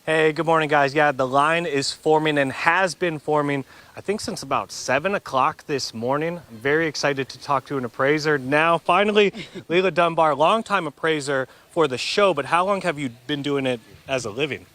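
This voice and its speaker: A man's voice: on the high side, fairly bright tone. Upbeat man reporting live. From Arizona. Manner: upbeat, friendly, quick questions. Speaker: TV news reporter. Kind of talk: live field interview.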